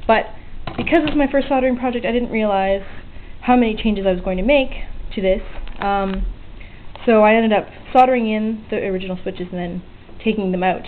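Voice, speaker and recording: A woman's voice: mid-range voice, brisk. A woman giving an informal, self-deprecating, unscripted show-and-tell of a hobby project, a little sweary. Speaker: hobbyist vlogger showing an electronics project. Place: small home work area by an open window. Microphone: handheld camera's built-in mic. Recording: tinny, band-limited camera audio with hiss. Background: faint outdoor weather ambience.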